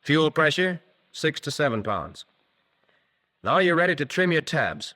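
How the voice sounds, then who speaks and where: An American man narrating a scripted 1940s instructional film in a crisp, methodical manner, on a vintage film soundtrack. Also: fairly high pitch, sing-song, unhurried, sharp tone.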